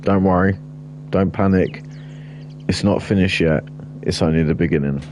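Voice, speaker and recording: Deep-voiced man rambling over gameplay. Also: normal speed, a little mellow, slight background noise. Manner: rambling, blunt, chatty aside while building. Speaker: gaming streamer. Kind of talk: casual gameplay commentary.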